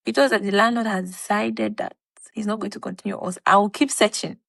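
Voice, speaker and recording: Woman, twenties, from Cameroon; podcast co-host, lively storyteller who acts out dialogue, dry close sound. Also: medium pitch, fairly quick, creaky, no echo. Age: 20s. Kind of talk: casual chat.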